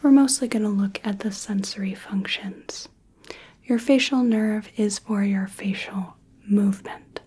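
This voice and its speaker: A woman whispering softly as an ASMR roleplay clinician, giving gentle step-by-step instructions. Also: medium pitch, measured pace, husky. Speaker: ASMR creator playing an examining clinician.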